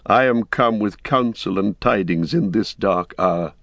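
A wise old wizard speaks gravely and in measured phrases, as a male reader voices him. His voice is low. The recording is dry and muted. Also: a bit bright, no room sound, muffled recording.

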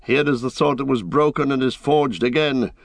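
A man voicing a noble, resolute ranger and royal heir in a fantasy audiobook, with measured, lofty, commanding speech. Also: medium pitch, vocal fry, dry recording, clean studio sound, voice pushed up. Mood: commanding, proclaiming. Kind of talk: dramatic audiobook fantasy reading.

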